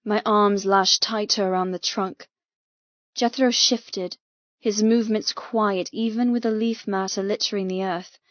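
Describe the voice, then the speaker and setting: A woman's voice: mid-range voice, fairly even intonation, measured pace, fairly bright tone, no room sound, highs cut off. A woman reading scripted first-person fiction as a dramatic audiobook narrator.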